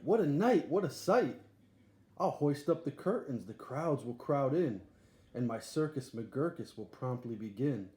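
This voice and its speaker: A man's voice: medium pitch, mellow, lightly acted. A man voicing an eager, boastful boy showman in a storybook, barking grandly like a ringmaster. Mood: thrilled, hawking. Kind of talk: storybook read-aloud character. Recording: echoey room, faint background noise.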